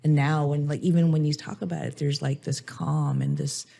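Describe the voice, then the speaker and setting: Middle-aged woman interviewer, low and gravelly, dark tone, reflective and searching, leans on "like". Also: fairly even intonation, moderate pace. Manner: reflective, searching, frequent "like" fillers. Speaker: podcast host. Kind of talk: conversational interview.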